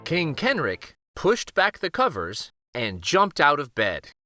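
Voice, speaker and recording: A man's voice: on the high side, huge pitch swings, slow pace, bright, forceful tone, dry recording, soft background music. A man hosting a children's read-aloud, narrating a picture book expressively.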